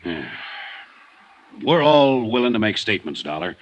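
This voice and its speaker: Blunt, low-voiced construction foreman. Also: sing-song, moderate pace. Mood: blunt, guarded. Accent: American. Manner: plain-spoken, colloquial, guarded. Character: blunt, wary male small-town construction foreman. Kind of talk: 1950s American radio drama acting. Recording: noisy vintage radio recording.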